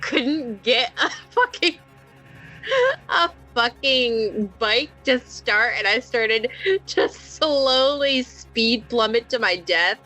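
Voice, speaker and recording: A woman with a bright, forward voice talks through a tabletop game in an animated, informal way, swearing freely. Her speech is casual and improvised, and music plays behind her. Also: fairly high pitch, slow, poor audio. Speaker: player in a tabletop roleplaying game. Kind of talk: casual improvised game chat among friends.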